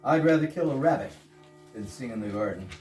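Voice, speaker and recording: A man playing a polite, pedantic gentleman guest in an amateur readers theater absurdist comedy, speaking formally. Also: mid-range voice, expressive, a little fast, faint music behind, close to an everyday voice. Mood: absurdly certain. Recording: roomy, distant mic, faint noise.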